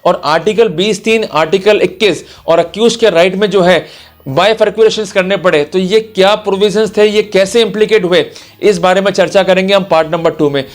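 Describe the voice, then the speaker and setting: A man giving an explanatory lecture as a lawyer, speaking emphatically and instructively straight to the listener. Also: high voice. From India. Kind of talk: explanatory legal lecture for online viewers.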